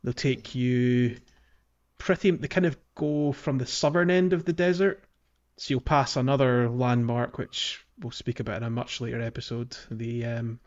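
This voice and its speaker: A man's voice: mid-range voice, fairly even intonation, normal speed. Chatty man on muffled podcast audio. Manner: chatty, laughs easily, many fillers and restarts. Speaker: podcast co-host. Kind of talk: casual chat about a video game.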